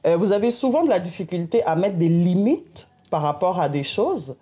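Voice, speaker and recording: A woman's voice: on the low side, normal speed. A woman hosting a community TV interview, giving encouraging astrology readings to her guests. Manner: warm, encouraging, animated. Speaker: host.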